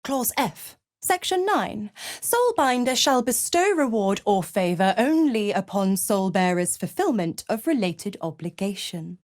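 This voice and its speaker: A woman's voice: mid-range voice, big pitch swings, measured pace, no echo, clean studio sound. A woman voicing a sly, seductive devil in a video game, mocking and condescending, with a teasing theatrical drawl. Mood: smugly officious. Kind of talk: video game character acting.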